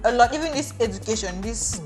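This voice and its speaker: A woman's voice: a little high, lively intonation, fast talker. A young woman from Africa hosting a casual chat, opinionated and talkative, restarting her sentences. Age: young adult. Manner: chatty, opinionated, restarts often. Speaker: vlog host. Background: background music.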